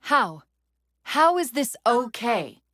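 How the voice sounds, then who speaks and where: A woman voicing an urgent, clever military AI companion in a video game, wry and earnest, giving crisp tactical briefings with an undercurrent of strain. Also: on the high side, very animated, takes it slowly, a bit bright, no echo, clean studio sound, heavily acted. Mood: strained, vulnerable.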